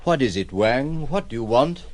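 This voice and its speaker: Guy playing a tender but troubled gentleman, formal and fretful, pitch moves a lot, radio drama. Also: animated, normal speed, lightly acted. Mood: urgent. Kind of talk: audio drama acting.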